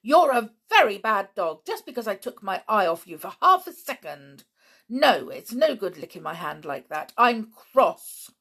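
A woman's voice: lowish voice, sing-song, unhurried, creaky, fairly bright tone, husky, full character voice. A woman voicing a headstrong, hot-tempered young tomboy girl, indignant and outspoken, in a children's storybook. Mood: cross, distressed. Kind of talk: children's adventure storybook reading.

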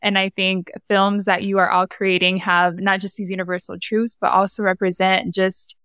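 A woman's voice: mid-range voice, little pitch movement, normal speed, fairly bright tone, clean tone, no room sound, studio quality. A young adult woman from San Diego hosting an interview, chatty and enthusiastic, full of "like" and "right?". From United States, San Diego. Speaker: host. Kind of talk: casual interview chat.

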